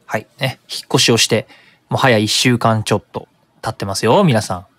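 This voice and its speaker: A man's voice: fairly low pitch, brisk, a bit bright, dry recording, studio quality. A man hosting a web radio show, talking casually in a chatty, colloquial, explanatory manner.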